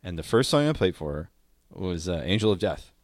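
Deep-voiced man in enthusiastic casual chat. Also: very animated, brisk, no echo, clean studio sound. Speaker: podcast co-host. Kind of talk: casual chat about music.

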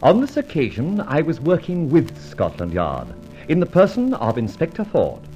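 An actor playing a jaunty gentleman adventurer who narrates his exploits in a breezy, dramatic radio drama style. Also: on the high side, wildly swooping pitch, moderate pace. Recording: dull vintage radio recording, music underneath. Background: music.

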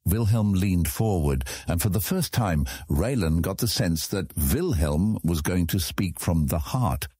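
Male audiobook narrator, very low husky voice, expressive melody, dry studio-like sound, scripted fantasy storytelling. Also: unhurried, hardly any pauses, dry recording.

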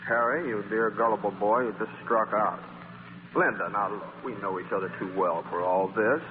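An actor playing a wisecracking, charming, restless journalist and former suitor in 1940s radio style, stammering a little between flippant, self-mocking quips. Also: male voice, fairly low pitch, moderate pace, lightly acted. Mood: flippant, coaxing. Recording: hissy, tinny old radio broadcast, hall reverb.

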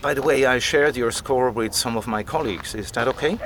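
A man's voice: mid-range voice, fairly quick, a bit bright, a little hiss or hum, close to an everyday voice. An actor playing a keen, patient male scholar who explains things like a storyteller in an audio drama. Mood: thoughtful. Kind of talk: conversational audio drama dialogue.

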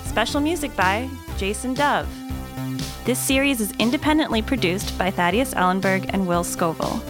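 A woman's voice: a little high, animated, normal speed. A woman reading scripted narration as the announcer of a show. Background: music.

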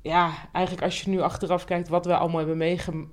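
Low-pitched woman in casual chat. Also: flat delivery, fast talker. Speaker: podcast co-host; writing teacher. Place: indoors on a couch.

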